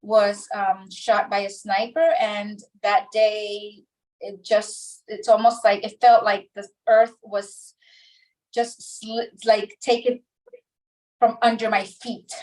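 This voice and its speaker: Distant middle-aged woman, halting storyteller. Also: medium pitch, flat delivery, a little mellow. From Dominican Republic. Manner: halting, many restarts and pauses. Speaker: interview guest. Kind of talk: reflective personal storytelling.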